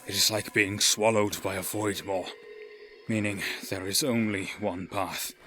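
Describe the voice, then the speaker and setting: A man's voice: fairly low pitch, steady tone, a little slow, sharp tone. Man acting a grave, wary elven warrior. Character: male Eldar warrior companion, wary, awestruck, questioning. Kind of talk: scripted audio drama acting. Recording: music under the voice. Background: music.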